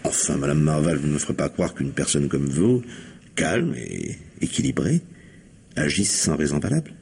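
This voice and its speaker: Deep, rough-voiced probing police commissioner. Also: male voice, very animated, normal speed, a little mellow, exaggerated voice. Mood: insistent. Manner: hesitant lead-ins, then insistent questioning. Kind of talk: vintage French radio mystery acting.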